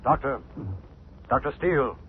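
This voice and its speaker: An actor playing an eerie invisible crime fighter in 1940s radio style, questioning insistently and dramatically. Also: male voice, mid-range voice, lively intonation, measured pace, narrow, tinny audio, natural voice. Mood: urgent, commanding. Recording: thin, noisy 1940s radio recording.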